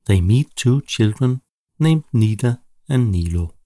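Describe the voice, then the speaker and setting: A man narrating a children's bedtime story in a measured, animated storytelling delivery. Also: mid-range voice, no room sound, studio quality.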